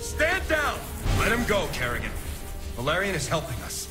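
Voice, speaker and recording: Urgent, high-strung starship captain. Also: male voice, wildly swooping pitch, moderate pace. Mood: firm. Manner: commanding, urgent, clipped. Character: urgent male starship captain, firm military leader. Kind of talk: scripted game voice acting. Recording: loud music behind.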